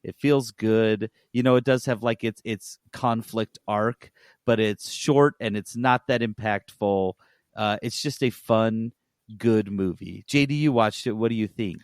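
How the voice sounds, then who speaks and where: A man's voice: fairly low pitch, unhurried, no room sound, clean studio sound. A man co-hosting a podcast, giving a casual, conversational recommendation and repeating points for emphasis. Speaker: podcast co-host. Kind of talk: casual review-style podcast chat.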